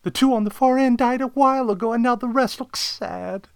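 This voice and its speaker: A man's voice: very high voice, a little fast, creaky, clean tone, no room sound, studio quality, pitched above the performer's own voice. A man voicing a genteel, anxious woman client in a detective story. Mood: anxious, pleading.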